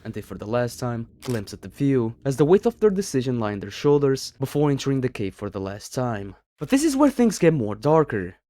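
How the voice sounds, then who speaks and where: A man delivers scripted horror narration with dramatic, animated swings in a mid-range voice. The recording is dry and close, without room echo. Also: mid-range voice, brisk, few pauses. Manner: dramatic storytelling. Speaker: video narrator.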